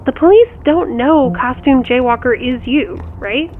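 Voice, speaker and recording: Audio drama: sharp, wry sister played by a woman, highish voice, direct and probing, thin phone-line sound. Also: measured pace. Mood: wry, puzzled. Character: sharp, teasing sister of the main character. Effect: phone call filter. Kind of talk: audio drama acting, conversational. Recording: tinny phone line, faint background noise.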